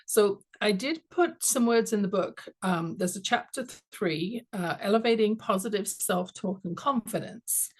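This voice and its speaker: A woman's voice: medium pitch, animated, measured pace, few pauses. London-accented woman coach, storytelling. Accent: London English. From England. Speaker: guest, confidence coach and author. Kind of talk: podcast interview conversation.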